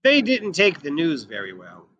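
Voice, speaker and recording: Male children's storybook reader, higher-pitched and bright, expressive and emphatic delivery. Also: normal speed, no room sound, muffled recording. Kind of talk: expressive read-aloud of a picture book for children.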